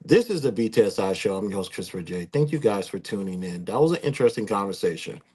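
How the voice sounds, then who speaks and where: A man's voice: on the low side, animated, fast talker, mellow, slightly rough. A man in his late thirties hosting a live talk show, speaking in a casual, joking way. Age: 30s. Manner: joking, teasing. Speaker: host.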